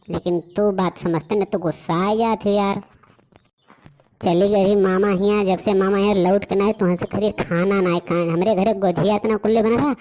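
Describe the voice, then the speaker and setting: A woman's voice: lowish voice, darkish tone, natural voice. A woman voicing a lovestruck young village lad in a comic cartoon, with an Awadhi accent, coaxing and pleading with pet names. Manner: wheedling, full of pet names. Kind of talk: comic rustic cartoon dialogue.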